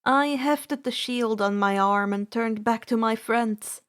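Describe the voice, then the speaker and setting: A woman reading fan fiction aloud as an expressive first-person narrator, with a deliberate, dramatic delivery. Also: fairly high pitch.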